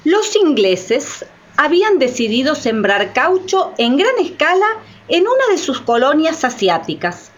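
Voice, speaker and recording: A woman's voice: high-pitched, sing-song, a little slow. A woman reading scripted documentary-style narration as the narrator of a radio drama. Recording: slightly muffled lo-fi recording.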